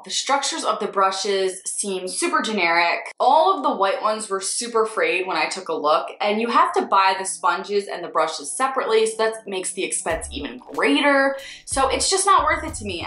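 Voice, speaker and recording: Blunt Philadelphia woman ranting in an echoey room. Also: medium pitch. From Northeast Philadelphia. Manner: blunt, sarcastic, swears freely, animated swings. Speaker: beauty vlogger, freelance makeup artist. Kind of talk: candid casual ranting vlog. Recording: echoey room with faint music.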